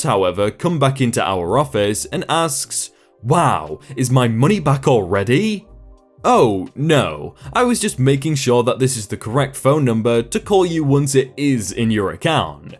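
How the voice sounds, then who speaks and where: A man reading online stories aloud in a scripted narration style, adding short comments between them. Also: mid-range voice. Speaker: narrator reading reddit posts.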